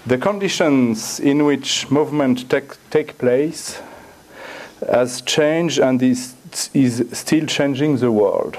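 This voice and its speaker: Halting, slow French-accented male lecturer. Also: medium pitch, a little mellow. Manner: halting, repeats words while searching for phrasing. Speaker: guest lecturer. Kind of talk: academic lecture. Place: university lecture room, indoors.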